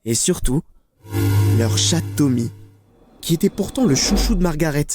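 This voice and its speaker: A man's voice: fairly high pitch, animated, moderate pace. A man narrating a scripted mystery documentary with dramatic, suspenseful phrasing. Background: background music.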